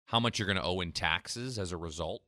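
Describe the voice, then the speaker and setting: A man hosting a retirement finance radio show, pitching a free tax analysis and explaining when to claim benefits. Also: a little fast, bright, forceful tone, dry recording, clean studio sound. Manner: persuasive sales pitch, then explanatory. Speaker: financial advisor radio host.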